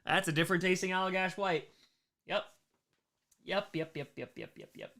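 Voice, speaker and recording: An American man hosting a casual livestream Q&A, chatting in an animated way and repeating short phrases for emphasis. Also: sits high, normal speed, creaky. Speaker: livestream host answering chat questions.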